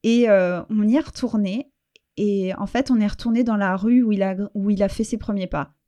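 Middle-aged french woman, guest on an interview, mellow voice, lots of euh and restarts, thoughtful. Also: mid-range voice. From France. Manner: reflective, halting with fillers and restarts. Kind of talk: personal conversational interview.

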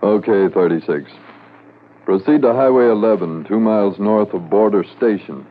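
Police sergeant played by a man, low voice, calm command, matter-of-fact, tinny old radio recording. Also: close to an everyday voice. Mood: calm, businesslike. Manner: brisk, matter-of-fact, authoritative. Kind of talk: 1950s radio drama acting. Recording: narrow lo-fi 1950s radio recording, noise.

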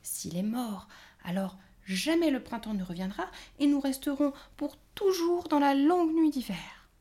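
A woman voicing a small, anxious fairy girl who pleads in a high, worried voice. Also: lively intonation, a little slow, mellow.

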